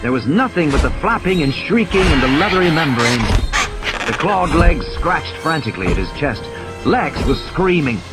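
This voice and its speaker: A man's voice: on the high side, big pitch swings, normal speed. A man giving a dramatic scripted narration of a story as an audiobook narrator. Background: music and sound effects.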